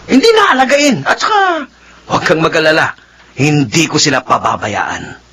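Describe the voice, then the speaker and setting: A man's voice: a little high, very animated, normal speed, bright, forceful tone, husky, heavily acted. A middle-aged man playing a careless, pushy husband in a radio drama, emphatic and insistent.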